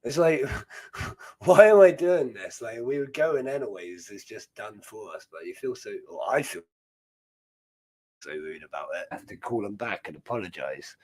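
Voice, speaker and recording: British man, young adult, rambling casual stream chat, fairly low voice. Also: animated, moderate pace, a little mellow, poor audio. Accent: British English. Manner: rambling, chatty, laughs often. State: chest infection. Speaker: host.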